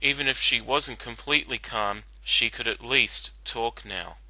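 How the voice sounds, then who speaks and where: Very bright-voiced male story narrator. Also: mid-range voice, unhurried, no echo, low-quality recording. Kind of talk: dramatic scripted story narration.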